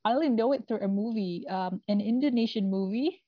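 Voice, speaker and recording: A woman from Singapore with a Singaporean accent, talking casually as an interview guest, often halting to find her words. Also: medium pitch. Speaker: podcast guest, family trauma coach. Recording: roomy, slightly dull audio.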